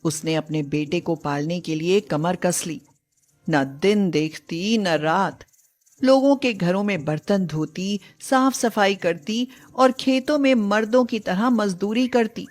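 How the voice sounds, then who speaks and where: Slow-paced woman storyteller. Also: medium pitch, darkish tone. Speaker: narrator of a moral story. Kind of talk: scripted dramatic storytelling narration.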